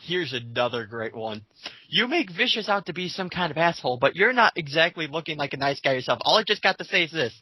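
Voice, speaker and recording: A man's voice: on the high side, big pitch swings, fast talker, sharp tone, no echo, low-quality recording. A 19-year-old man in a casual, blunt, sweary rant, reading comments aloud as a commentator.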